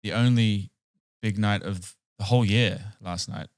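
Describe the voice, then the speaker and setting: A man's voice: on the low side, a little slow, clean tone. Young man quipping in podcast chat. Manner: relaxed, reacts with short quips and exclamations. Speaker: podcast co-host. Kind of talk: casual chat. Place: home room with shelves, indoors. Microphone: boom studio mic close up.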